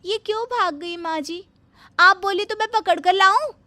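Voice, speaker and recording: A woman's voice: expressive, measured pace, a bit bright, dry recording, exaggerated voice. A woman voicing an eager, overly obedient young daughter-in-law who talks sweetly and takes everything literally. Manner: sweet, literal-minded, high and singsong.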